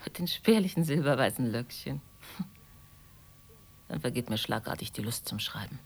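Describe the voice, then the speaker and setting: A woman's voice: rumbling low, animated, unhurried, gravelly, heavily acted. Chatty, anxious neighbour woman, swooping intonation. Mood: wistful. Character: chatty, anxious, apologetic middle-class shopkeeper neighbour woman. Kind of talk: radio drama acting.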